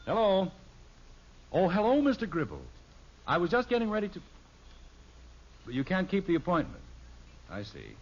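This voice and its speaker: A man's voice: high-pitched, wildly swooping pitch, normal speed, long pauses, breathy edge, full character voice. An actor playing a strict, exasperated middle-aged father with an American accent, lecturing and commanding in 1950s radio sitcom style. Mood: polite, eager. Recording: muffled vintage radio recording.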